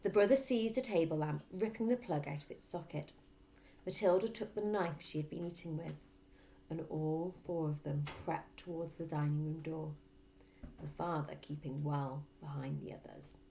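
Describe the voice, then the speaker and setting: A woman reading a children's story aloud like a teacher, in expressive narration. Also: low voice, normal speed, gravelly, poor audio.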